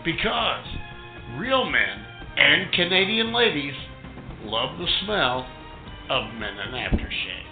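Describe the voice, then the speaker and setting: A man voicing a bombastic sports director in a parody radio report, with pompous, theatrical, drawn-out delivery. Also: a little high, very animated, phone-quality sound, heavily acted. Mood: pompous, folksy. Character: bombastic male sports director mangling words and names. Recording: roomy echo over music bed.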